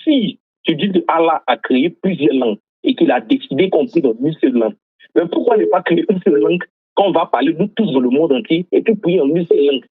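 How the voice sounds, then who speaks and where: A man's voice: fairly high pitch, animated, fairly quick, husky, no echo. Questioning man on a thin phone line. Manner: questioning, pressing objections. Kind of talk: heated religious debate. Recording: dry, phone-like audio.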